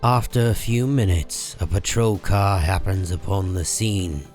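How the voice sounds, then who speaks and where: A man reading scripted dramatic comic book narration in a measured, solemn manner. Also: low-pitched, darkish tone. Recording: music behind the voice.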